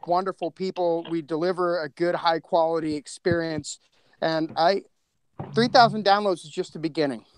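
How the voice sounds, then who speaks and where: A man's voice: high-pitched, smooth, dry recording. A man talking casually and effusively in a rambling way as a podcast co-creator on a video call. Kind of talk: casual panel chat.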